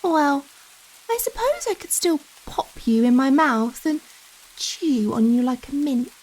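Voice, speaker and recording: A giant vampire woman, played by a female ASMR actor, speaks in a high, lingering voice with rain falling around her. She is coy and coddling, gentle yet possessive, toying with someone tiny in a slow, intimate roleplay. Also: rough recording. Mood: amused, teasing. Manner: slow, coy, coddling talk with pet names. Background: steady rain.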